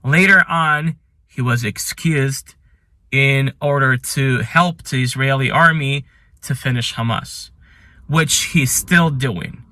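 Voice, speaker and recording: A man who is not a native English speaker hosts a ranked commentary, speaking earnestly and with admiration, with some halting phrasing. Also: medium pitch, bright, forceful tone, no room sound. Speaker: channel host. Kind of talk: ranking list commentary.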